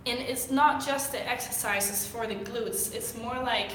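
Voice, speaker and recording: A young woman from Denmark, sick and clearing her throat, answering viewer questions in a rambling, chatty way with lots of fillers on a livestream. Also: on the high side, measured pace, fairly bright tone. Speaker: fitness vlogger. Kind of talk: casual livestream Q&A. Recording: echoey room with faint noise.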